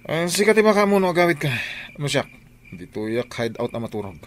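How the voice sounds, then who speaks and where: A man's voice: a little high, very animated, fairly quick, a little hiss or hum. A man with a northern Philippine accent acting a hot-tempered criminal husband in a radio drama. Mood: scheming.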